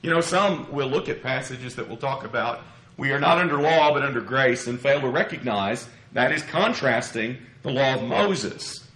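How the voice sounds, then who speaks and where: A man preaching an expository sermon in a measured, earnest way, his voice a little scratchy from a cough. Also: medium pitch, pushed and bright. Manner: measured, earnest, explanatory with rhetorical questions. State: slightly scratchy from a lingering cough. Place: church pulpit, roomy indoor space. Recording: echoey room.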